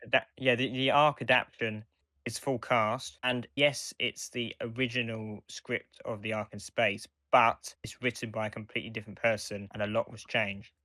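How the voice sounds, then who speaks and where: A man's voice: mid-range voice, flat delivery, normal speed, pushed and bright, dry recording. A man co-hosting a podcast in casual, conversational discussion. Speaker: podcast co-host.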